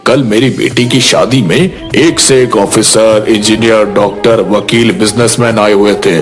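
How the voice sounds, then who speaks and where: A man playing an earnest village headman and father of a bride in an animated moral story. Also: fairly low pitch, measured pace, music in the background, natural voice. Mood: grateful, admiring.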